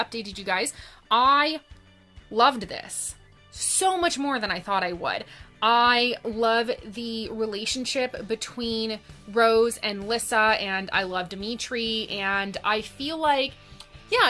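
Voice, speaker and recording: A young woman talking casually in a reading vlog, thoughtful and halting, drawing out words for emphasis. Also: on the high side, pushed and bright. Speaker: book vlogger.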